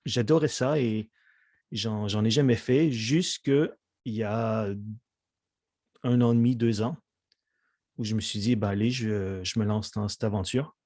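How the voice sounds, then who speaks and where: A man's voice: lowish voice, unhurried, a little mellow, dry recording, clean studio sound. A middle-aged man from Quebec, chatting as an interview guest and often hesitating over fillers and restarts. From Quebec, Canada. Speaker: musician guest. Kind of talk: casual interview chat.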